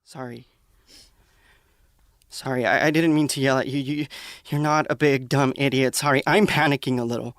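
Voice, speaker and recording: A man acting as a young man in a panic, in a contemporary radio play. His voice sits at a medium pitch, recorded dry and close, and he is flustered and apologetic. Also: fairly even intonation, normal speed, long pauses, fairly bright tone, no echo, close to an everyday voice. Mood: panicky, apologetic.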